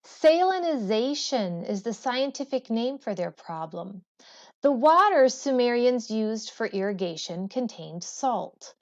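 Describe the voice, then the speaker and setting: A woman with a fairly high voice reads educational material aloud like a classroom teacher. She goes very slowly and deliberately, setting out each phrase on its own. The recording is somewhat dull and lo-fi. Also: very animated. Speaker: teacher reading a textbook aloud. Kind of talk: read-aloud educational narration. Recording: dull, lo-fi sound.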